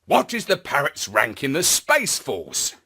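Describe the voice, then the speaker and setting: Male narrator for children's stories, higher-pitched, theatrical, very slow and deliberate. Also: huge pitch swings, bright, forceful tone, slightly rough. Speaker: storyteller. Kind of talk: scripted children's story narration in first person.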